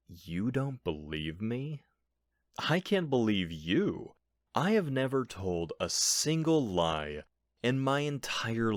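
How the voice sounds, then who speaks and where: A man's voice: low voice, a bit bright, dry recording, clean studio sound, heavily acted. A man playing a nervous, bumbling burglar in an audio roleplay, stammering and bluffing with theatrical emphasis and indignation. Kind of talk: ASMR audio roleplay acting.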